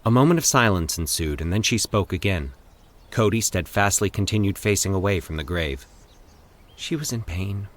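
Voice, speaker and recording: A man's voice: low voice, lively intonation, a little fast. A man hosting a podcast and narrating a scripted horror short story.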